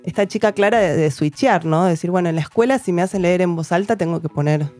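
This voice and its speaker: A woman's voice: on the low side, fast, warm tone, soft background music. A woman co-hosting a book podcast, talking in a thoughtful, hesitant way with many restarts. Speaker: podcast co-host reviewing books. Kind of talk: casual book chat.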